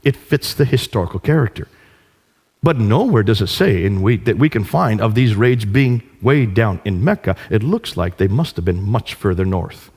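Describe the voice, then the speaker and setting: A man's voice: mid-range voice, darkish tone, breathy edge. A man giving a public lecture off the cuff in an emphatic manner, repeating phrases for stress and posing rhetorical questions. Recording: hall with long reverb.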